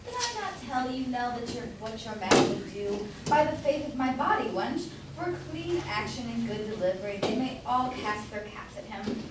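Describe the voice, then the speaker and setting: A woman as a proud husband, loud background noise. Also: a little high, big pitch swings, normal speed, few pauses. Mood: proud, hearty. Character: George, proud doting London citizen husband. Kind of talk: amateur staged reading of Jacobean comedy. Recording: echoey room from far off, loud noise.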